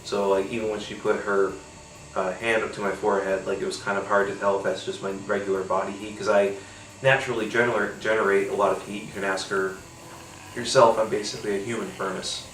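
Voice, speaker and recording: A man's voice: on the low side, level tone, a little fast, a bit bright, reverberant, far from the mic, a little hiss or hum, rough recording. A man with a congested, nasal voice from a cold, rambling casually with lots of fillers and casual swearing while he tastes a beer. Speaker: podcast host. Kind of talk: casual solo beer-tasting chat.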